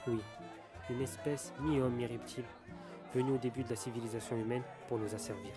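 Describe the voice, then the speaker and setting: Man acting secretive bar stranger, conspiracy theorist, rapid urgent explaining, audio drama, music and chatter behind. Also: medium pitch, fairly even intonation, natural voice. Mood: grave, convinced.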